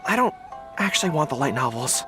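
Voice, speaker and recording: A youthful, dutiful game soldier, played by a man, speaks with swooping, expressive pitch. He is polite and hesitant, stumbling over his words. Game music plays behind him. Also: fairly quick, lightly acted. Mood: nervous, earnest. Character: earnest young dog-eared soldier, male, flustered but dutiful. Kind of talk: video game voice acting.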